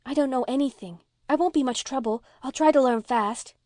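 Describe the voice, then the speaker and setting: Woman voicing an earnest, pleading little girl, pitched high. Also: darkish tone, dry recording, studio quality, close to an everyday voice. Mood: shy eager. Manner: breathless, pleading, halting when anxious. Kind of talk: audiobook character voice.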